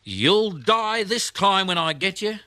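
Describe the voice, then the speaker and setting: A man's voice: sits high, lively intonation, slow, pushed and bright, voice pushed up, heavily acted. A man voicing a spiteful, savage woman shopkeeper in an audiobook story, forcing angry words out. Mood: choking fury. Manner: choking words out in anger.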